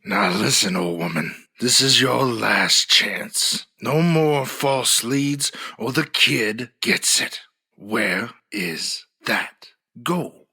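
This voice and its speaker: Raspy male villain, hard sharp edge, bullying, rustic grammar, radio adventure outlaw. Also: mid-range voice, animated, takes it slowly, faint music behind, heavily acted. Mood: angry, threatening. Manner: threatening, folksy rustic grammar. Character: menacing Gold Rush outlaw, crude and bullying. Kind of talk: old-time radio adventure acting.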